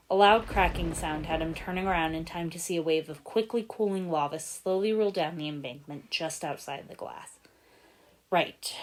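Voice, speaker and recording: A woman narrating a story from a script in an expressive storytelling style. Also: low voice. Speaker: fan fiction narrator.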